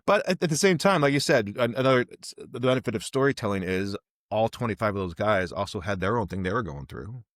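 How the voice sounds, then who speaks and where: Low-voiced male podcast host. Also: expressive, quick pace, dry recording. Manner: encouraging, affirming. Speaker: podcast host interviewing an actor. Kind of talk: casual interview chat.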